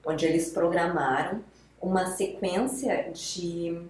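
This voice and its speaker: A woman with a notably low voice, explaining things patiently one step at a time. She sounds like a guest expert walking listeners through examples. The recording has a roomy echo around her. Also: takes it slowly. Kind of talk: explanatory podcast talk.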